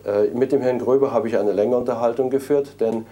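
A man's voice: medium pitch, fairly even intonation, fairly quick, mellow. A man from Munich, a property businessman in an interview, recounting events hesitantly with many restarts. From Munich, Germany.

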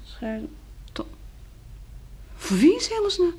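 An actress playing an anxious, tender young woman surviving a catastrophe in a radio drama, emotional and halting. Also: very high-pitched, big pitch swings, darkish tone, heavily acted. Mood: uneasy. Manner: emotional, halting, repeating words.

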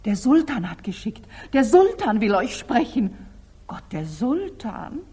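An actress playing an anxious, fussy household companion in a 1940s German radio drama, speaking in an agitated way. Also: female voice, on the high side, very animated, a little slow, mellow, slightly rough, exaggerated voice. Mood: flustered, worried.

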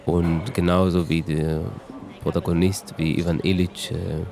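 A man giving informal personal testimony in a halting, reflective way. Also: low-pitched, flat delivery, vocal fry, a little mellow.

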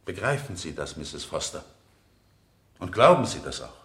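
An actor playing an insistent defense lawyer in a radio play, questioning witnesses in a deliberate, rhetorical way. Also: male voice, low-pitched, sing-song, fairly bright tone, exaggerated voice. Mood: pressing, insistent. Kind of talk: 1970 German radio play acting.

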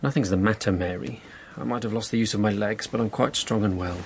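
An actor playing an irritable, reluctant husband with a curt, stubborn manner in a radio drama. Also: male voice, lowish voice, fairly even intonation, brisk, a little hiss or hum, close to an everyday voice. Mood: irritated. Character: irritable, reluctant husband who has lost use of his legs. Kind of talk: naturalistic radio drama acting.

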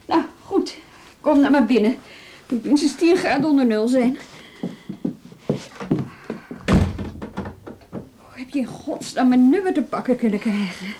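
Wry no-nonsense professional woman, highish voice, weary, naturalistic radio play, slightly noisy recording. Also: expressive, normal speed. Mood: weary, exasperated. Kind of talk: naturalistic Dutch radio drama acting.

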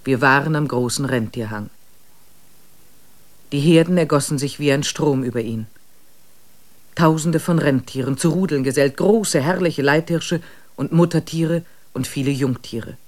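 A man narrating a radio play in a measured, solemn storytelling voice. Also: on the high side, lightly acted.

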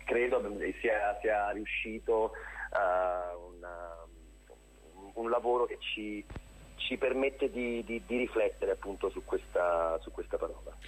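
A man giving a casual, unscripted interview as a theatre director, halting and often repeating his words. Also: on the low side, rough recording. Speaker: theatre director, radio guest.

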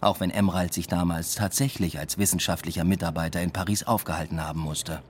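A man's voice: sits low, fairly even intonation, a little fast, a little hiss or hum, natural voice. An actor playing a weary, introspective male academic, narrating a radio play in a dry, reflective, understated way. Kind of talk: literary first-person narration in a radio play.

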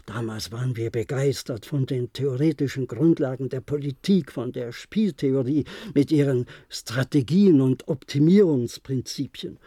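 An actor in a German radio play, playing an older, resigned former diplomat who reminisces in a measured, formal way. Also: male voice, mid-range voice, warm tone, dry recording, clean studio sound, natural voice. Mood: nostalgic, reflective. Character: resigned, reflective former diplomat, aging bunker survivor.

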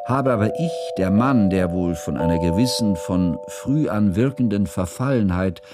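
Actor as formal, low-voiced learned biographer. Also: male voice, over loud music, close to an everyday voice. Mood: earnest, reflective. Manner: formal, ornate, deliberate. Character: learned, devoted bourgeois biographer recalling his composer friend. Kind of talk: literary radio drama narration.

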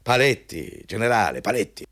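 A man's voice: lowish voice, big pitch swings, normal speed, fairly bright tone. An actor playing a pompous, overbearing male company boss in a radio drama, hectoring and self-important. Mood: ingratiating, griping. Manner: pompous, hectoring, switching between scolding and ingratiating. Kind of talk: Italian radio drama acting.

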